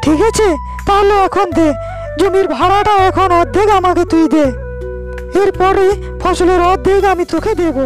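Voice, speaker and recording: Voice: very high voice, steady tone, moderate pace, over music. A performer voicing a clever young farmer in a cartoon, firmly arguing and repeating his points. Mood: sly, firm. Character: clever, firm young farmer man standing his ground. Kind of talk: Bengali cartoon character dialogue.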